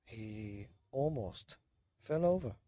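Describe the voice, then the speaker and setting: Slow, expressive man telling bedtime stories. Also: medium pitch, dry recording, studio quality. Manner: dramatic, drawn-out emphasis. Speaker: bedtime story reader. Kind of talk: children's story narration, partly improvised.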